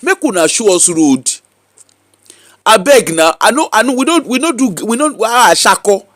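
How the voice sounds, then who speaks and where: A man's voice: high-pitched, sing-song, normal speed, fairly bright tone, no room sound, studio quality. A man with a Nigerian accent giving casual, mocking commentary full of rhetorical questions. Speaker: social media commentator. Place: UK-based.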